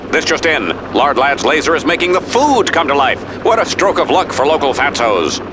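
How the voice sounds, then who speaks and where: An actor playing a pompous, callous middle-aged American TV news anchor in a video game, delivering melodramatic mock news commentary. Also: male voice, on the high side, noisy background. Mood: self-important.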